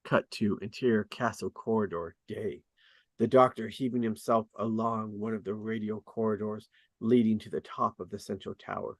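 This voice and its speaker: Low-voiced man reading stage directions. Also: fairly even intonation, normal speed, no room sound. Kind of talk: scripted narration at a table read.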